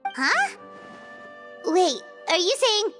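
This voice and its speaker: A woman voicing a small, excitable childlike fairy girl in a video game, squeaky and chirpy. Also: extremely slow, soft background music, full character voice. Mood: surprised. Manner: exclamatory, chirpy, eager. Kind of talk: cartoonish video game voice acting.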